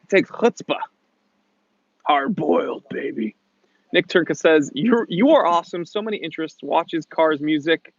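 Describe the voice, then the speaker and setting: A man's voice: a little high, moderate pace, no room sound. An American man from New England hosting a casual livestream Q&A, chatting in a slangy, jokey, rambling way. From New England, USA. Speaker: livestream host. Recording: muffled lo-fi audio.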